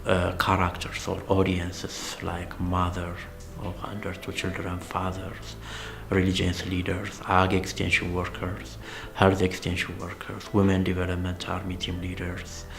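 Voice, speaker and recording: A man speaks, explaining project work as in a documentary interview. Music plays clearly beneath his voice. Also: low-pitched, monotone, measured pace, fairly bright tone. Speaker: project team member explaining a radio drama program. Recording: music bed under interview.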